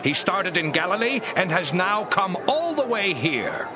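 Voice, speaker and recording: An actor playing an agitated council member who urgently accuses a prisoner in a radio drama. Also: male voice, high-pitched, big pitch swings, a little slow. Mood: accusing. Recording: crowd murmur and street noise.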